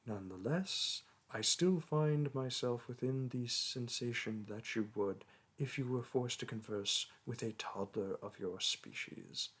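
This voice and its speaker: A man's voice: medium pitch, a little slow, few pauses, fairly bright tone, husky. A man playing a condescending young male dragon teacher in a roleplay audio, speaking in archaic, formal and disdainful phrases. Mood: lofty disdain. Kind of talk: roleplay audio monologue to a listener.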